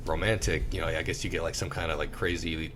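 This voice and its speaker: A deep, low-voiced man chatting casually as a podcast co-host. He sounds laid-back, swears freely and laughs often. A faint hiss of noise sits behind him. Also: steady tone, quick pace. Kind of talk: casual movie review chat.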